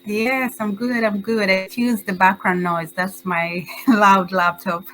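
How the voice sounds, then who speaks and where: A middle-aged woman with a Tanzanian accent giving informal, halting answers as an interview guest. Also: mid-range voice, fairly even intonation, fairly bright tone, faint music behind, poor audio. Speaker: guest, social entrepreneur.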